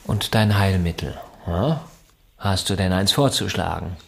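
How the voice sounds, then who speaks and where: An actor in a German radio drama playing a tense, bitter husband, clipped, formal and defensive. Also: male voice, deep voice, measured pace, creaky. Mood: cool, defensive. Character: tense, bitter husband in a wheelchair, coolly stubborn.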